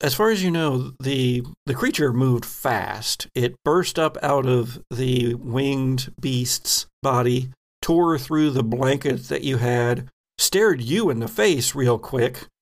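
A man's voice: mid-range voice, takes it slowly. A man hosting as game master, narrating a tabletop horror role-playing game in a dramatic storytelling manner. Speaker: game master, podcast host.

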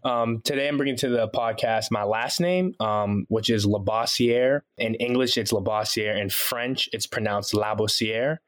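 A man's voice: on the low side, fairly even intonation, moderate pace, few pauses. A young man from Haiti who grew up in Boston, with an American accent, chatting casually as a podcast guest, laughing often and using lots of fillers. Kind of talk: casual interview chat.

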